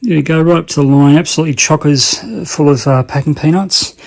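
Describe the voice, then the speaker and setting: A man's voice: on the high side, fast, creaky. A man presenting a casual how-to demonstration in a rambling, colloquial way, often repeating himself for emphasis. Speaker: hobbyist video presenter.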